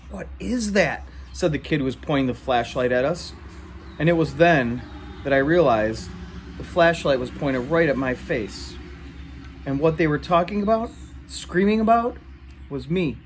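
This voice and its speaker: A man reading a story aloud in an animated way. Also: mid-range voice, a little hiss or hum. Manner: animated, acts out dialogue in shouts. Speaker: reader. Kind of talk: read-aloud story narration.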